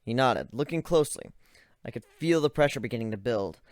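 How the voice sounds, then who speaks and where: A man's voice: lively intonation, no room sound, clean studio sound. Man reading a dramatic story aloud. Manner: measured, drops low and quiet on tense moments. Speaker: narrator.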